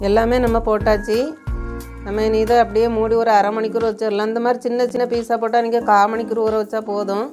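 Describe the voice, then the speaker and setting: A woman's voice: fairly high pitch, little pitch movement, fast, over music. A woman narrates a recipe step by step in a plain, homely, colloquial way. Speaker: home cooking channel host.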